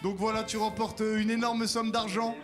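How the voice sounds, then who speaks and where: A man hosting a live event in a hyped, loud style, rallying the audience. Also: high-pitched, little pitch movement, moderate pace. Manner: loud, hyping up a crowd. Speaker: event host. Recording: loud music and cheering crowd behind.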